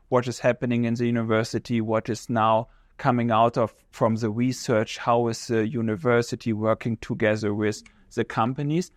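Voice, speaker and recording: A man with a German accent, a visiting professor speaking in English as a second language, explaining things in a measured way and searching for words as he talks. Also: mid-range voice, no room sound, clean studio sound. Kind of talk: conversational interview answers.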